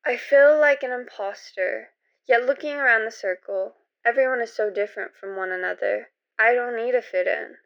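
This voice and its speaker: A woman narrates a first-person story from a script. Her voice sits slightly high for a woman, and the recording is a touch lo-fi. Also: normal speed, creaky, a bit bright.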